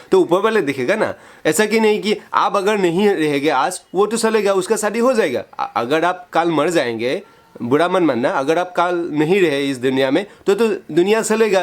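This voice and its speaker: Man, slightly high-pitched. Earnest moral lecturer on a phone call, halting and repetitive. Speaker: host confronting a scammer.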